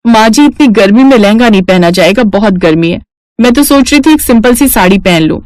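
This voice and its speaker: A woman's voice: medium pitch, expressive, a little fast, a little mellow, no room sound, close to an everyday voice. A woman playing a reluctant, fretful young city daughter-in-law who complains, in a cartoon family story.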